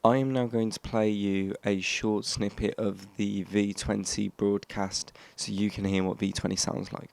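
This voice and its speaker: A man's voice: fairly low pitch, little pitch movement, unhurried, vocal fry, hardly any pauses, a little mellow. A man hosting a hobby explainer, reading scripted narration and now and then stumbling over his words. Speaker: host of a radio hobby channel.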